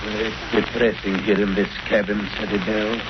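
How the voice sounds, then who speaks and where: An actor playing an anxious, gloomy criminal accomplice, uneasy in manner, in a 1930s radio drama. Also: male voice, on the low side, steady tone, normal speed, gravelly. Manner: nervous, uneasy. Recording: noisy muffled old radio recording.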